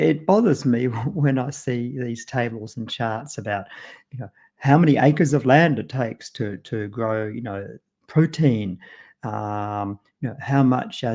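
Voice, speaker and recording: An Australian man talks through a nutrition topic on a home live stream, with a gravelly, mellow low edge to his voice. He is casual and loose, scattering ums and restarts as he thinks aloud. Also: dull audio. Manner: loose, full of ums and you-knows, restarts. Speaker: co-host presenting on nutrition.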